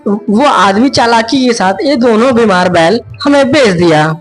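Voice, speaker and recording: A woman's voice: fairly high pitch, animated, measured pace, soft background music. A woman voicing a poor, honest, worried village husband in a children's cartoon story, earnest and plaintive. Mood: distressed.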